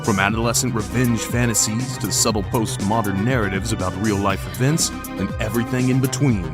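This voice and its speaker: A man's voice: on the low side, a little fast, loud music behind the voice. A man reading a scripted podcast introduction as an announcer. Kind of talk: scripted narration.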